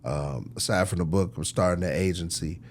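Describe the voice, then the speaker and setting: Low-voiced American man, slangy and casual. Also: a little fast. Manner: frequent slang and conversational tags like "you know" and "man". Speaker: record executive being interviewed. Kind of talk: casual interview conversation.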